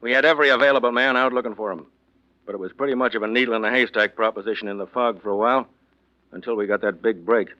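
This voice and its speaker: An actor playing a courteous, matter-of-fact police investigator who plainly explains a case in a 1940s radio drama. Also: male voice, lowish voice, fairly even intonation, quick pace, pushed and bright. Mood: brisk, factual. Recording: muffled vintage 1940s radio recording.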